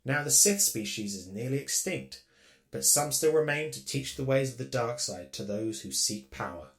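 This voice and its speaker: A man voicing a polite, dutiful servant droid in a roleplay series, speaking formally and with measured precision. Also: medium pitch, expressive, vocal fry. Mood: informative, precise. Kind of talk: Minecraft roleplay web series voice acting.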